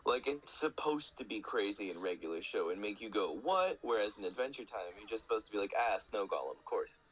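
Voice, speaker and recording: A man's voice: lowish voice, lively intonation, brisk, dull audio. A man chatting casually as a podcast guest, explaining things in an enthusiastic, rambling way with lots of "like". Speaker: guest speaker.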